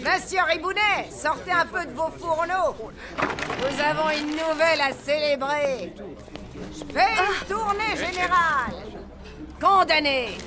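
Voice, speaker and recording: A woman's voice: high voice, slow pace, very noisy. A woman playing a bossy, scolding, mocking tavern keeper in a cartoon. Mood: gloating. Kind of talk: dubbed cartoon acting. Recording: music and crowd bustle behind.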